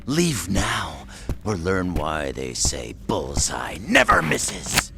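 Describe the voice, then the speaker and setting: A man's voice: low-pitched, huge pitch swings, takes it slowly, gravelly, heavy background noise, exaggerated voice. Wildly swooping, sneering marksman villain. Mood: taunting. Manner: sneering, boastful. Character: cocky, taunting male villain, deadly marksman. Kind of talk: video game cutscene acting. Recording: music behind the voice.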